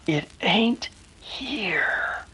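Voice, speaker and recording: An actress playing a slangy, astonished bystander in a 1930s radio mystery. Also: female voice, medium pitch, expressive, very slow, pushed and bright, whispered, heavily acted, rough recording. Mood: dumbfounded. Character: slow, slangy, astonished bystander named Nick.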